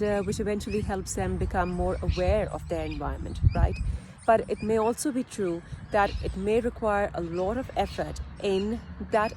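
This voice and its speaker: A woman who speaks English as a second language, giving explanatory advice as a video presenter in a measured, deliberate way with pauses mid-phrase. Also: on the low side, noisy background. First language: a language other than English. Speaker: video presenter, parent advocate. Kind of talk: explanatory advice talk to camera.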